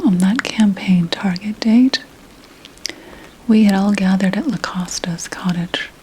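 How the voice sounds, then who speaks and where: A woman voicing a 17th-century witch who tells of her coven in a breathy ASMR whisper, hushed and deliberate. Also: medium pitch, a little hiss or hum, lightly acted, rough recording. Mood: wry, hushed. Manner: slow, hushed, deliberate storytelling. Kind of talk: whispered ASMR roleplay storytelling.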